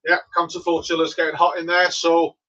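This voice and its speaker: A man's voice: a little high, fairly quick, muffled recording. A man with a Welsh accent, a native Welsh speaker, chatting casually with viewers while livestreaming his homebrew brewday. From Wales. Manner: relaxed, chatty, answers viewers. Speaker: homebrewer streaming live. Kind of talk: casual live-stream chat. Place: home brewery.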